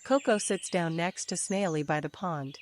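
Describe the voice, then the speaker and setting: Lowish-voiced woman narrating a bedtime story. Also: moderate pace, a little hiss or hum. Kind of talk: scripted children's story narration. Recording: faint birdsong and nature ambience. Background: birdsong, crickets, forest ambience.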